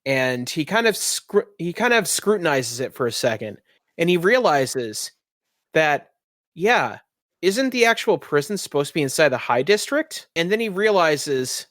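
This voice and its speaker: A man's voice: fairly high pitch, fairly bright tone, no room sound, clean studio sound. A man narrating a tabletop roleplaying game as game master, improvising as he goes.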